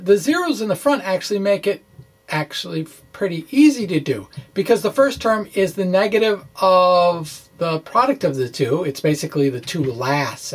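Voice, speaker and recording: A man giving an informal explanatory lecture in a chatty, folksy way, with wry asides and a halting delivery. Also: high voice. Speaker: physics blogger presenting a lesson. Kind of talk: informal explanatory lecture, dramatic blog reading.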